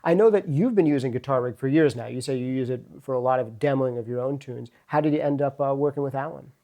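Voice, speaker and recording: A man's voice: mid-range voice, fast talker, warm tone. A man presenting and interviewing in a casual way in a home studio. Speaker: interviewer and host. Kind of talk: casual gear-video presenting.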